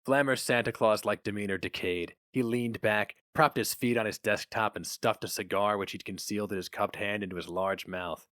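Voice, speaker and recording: A man's voice: fairly low pitch, steady tone, normal speed, hardly any pauses, a bit bright, dry recording. Male story narrator, very dry sound. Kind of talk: dramatic scripted story narration.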